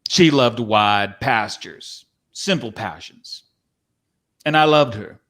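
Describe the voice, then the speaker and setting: A man's voice: medium pitch, slow pace, a bit bright. A man playing a folksy, courtly, wistful cowboy from the Old West in a dramatic script reading. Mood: wistful confiding.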